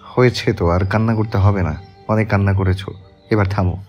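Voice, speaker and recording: A man playing a tender young husband in a romantic audio story, speaking softly and gently. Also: steady tone, a little fast, highs cut off, natural voice. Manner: soft, gentle, low-voiced. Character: tender young husband, soft-spoken and teasing. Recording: soft background music.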